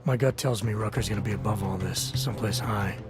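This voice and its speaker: A man voicing a stoic, gruff covert agent in a video game, terse and deadpan. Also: lowish voice, brisk, loud music behind the voice, lightly acted. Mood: focused.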